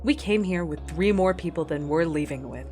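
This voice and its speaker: A stubborn, weary captain acted by a woman with a low voice and a firm, dry, curt way of speaking. It is radio drama acting, with faint music underneath. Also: normal speed, darkish tone, natural voice. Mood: stern. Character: stern, tired female expedition captain, cautious and stubborn.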